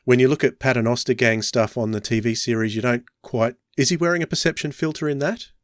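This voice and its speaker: A man's voice: mid-range voice, fast, no echo, studio quality. An Australian man hosting a podcast in casual conversation, thinking aloud as he talks. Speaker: podcast host. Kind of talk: casual chat.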